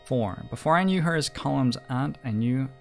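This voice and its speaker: A man reading the text of a story game aloud in measured phrases, as a streamer narrating. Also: medium pitch, lively intonation, vocal fry, soft background music.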